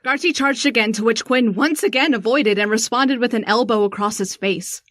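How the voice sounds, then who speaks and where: A woman playing a shaken, sharp-tongued young woman in a first-person audio drama. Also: fairly high pitch, moderate pace, no echo, studio quality, close to an everyday voice. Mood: tense narration.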